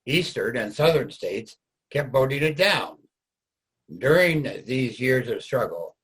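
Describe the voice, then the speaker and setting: Male narrator for staged history reading, middle pitch, husky voice, measured storytelling from a script. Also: low-quality recording.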